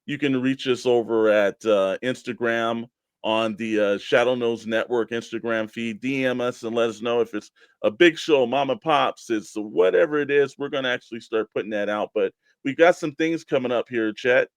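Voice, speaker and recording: A man's voice: medium pitch, moderate pace, clean tone, no echo. American man co-hosting, dry close sound. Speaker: co-host of a toy collecting show. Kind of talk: casual radio-show chat.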